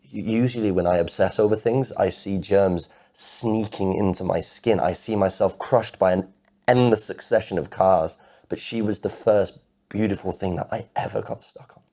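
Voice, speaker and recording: Man acting low, gravelly, heartbroken obsessive monologue. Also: steady tone, mellow, exaggerated voice. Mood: anguished, pleading. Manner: halting, stammering repetition of words. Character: heartbroken man with obsessive compulsive disorder, anxious and lovelorn. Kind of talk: dramatic monologue performance of spoken-word poetry.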